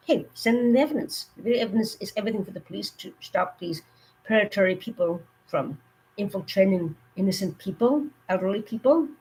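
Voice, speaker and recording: A woman with a cold, her voice going hoarse, giving earnest safety warnings in a rambling, casual live stream. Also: medium pitch, darkish tone, low-quality recording.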